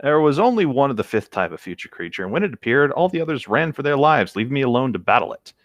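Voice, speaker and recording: Man, lively varied pitch, informal banter, read-along, dry close sound. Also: fast talker, no echo. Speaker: co-reader on a group creepypasta reading.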